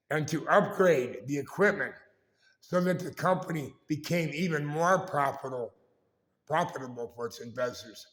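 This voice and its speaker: A man hosting a casual vlog with a raspy voice, talking in a halting, rambling way and correcting himself as he goes. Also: fairly high pitch, a bit bright. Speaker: vlog host. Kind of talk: casual walking-tour vlog. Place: indoors in a historic building.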